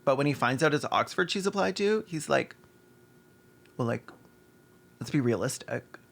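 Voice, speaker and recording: A man's voice: mid-range voice, animated, normal speed, creaky, fairly bright tone. A man hosting a video essay with snarky, mocking, sometimes sweary scripted commentary and asides.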